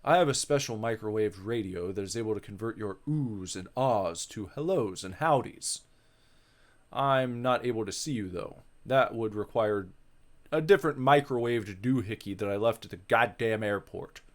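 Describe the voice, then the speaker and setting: A man's voice: lowish voice, moderate pace, natural voice. Casting: male reader as quirky medium-podcaster, subdued, matter-of-fact, wordy, visual novel comedy. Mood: self-assured. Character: quirky self-assured medium and podcaster interviewing a ghost. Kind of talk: dramatic reading of a visual novel.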